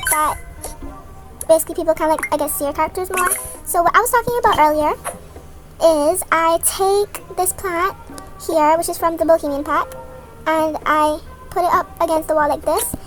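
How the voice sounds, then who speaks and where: A young woman with a very high, girlish pitch talks through a tutorial in a casual way. Music plays behind her voice-over. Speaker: video creator and host. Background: background music.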